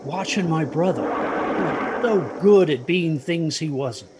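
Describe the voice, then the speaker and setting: A man's voice: a little high, expressive, slow pace, heavy background noise. An actor playing a protective, haunted father who tells his children a ghost story in a hesitant, earnest way, in an audio drama. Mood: haunted, reflective. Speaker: storyteller narrator.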